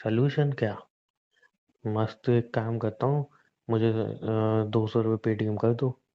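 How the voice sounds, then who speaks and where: A man's voice: fairly low pitch, steady tone, slow. A young man chatting casually with his girlfriend in a teasing, unbothered way. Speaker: boyfriend on a phone call. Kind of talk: casual relationship chat. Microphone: phone. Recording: dull, muffled phone-call recording.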